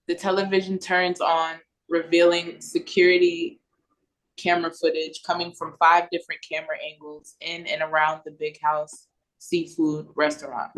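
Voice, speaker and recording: A woman's voice: fairly low pitch, low-quality recording. A woman reading stage directions as narrator in a scripted read-through over a video call.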